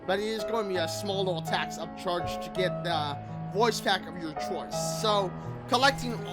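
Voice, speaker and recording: Guy with a pretty high voice, chatting through a game review, kinda rambly, music going behind him. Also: normal speed. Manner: rambling, repeats points. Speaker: gaming video creator. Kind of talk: casual spoken game review commentary. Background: background music.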